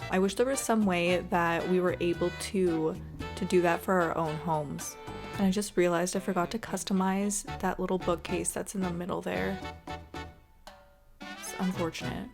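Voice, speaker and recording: A woman's voice: on the low side, fairly even intonation, moderate pace, gravelly, over music. A woman hosting a gaming video with casual, chatty commentary, talking to viewers in a friendly, direct way.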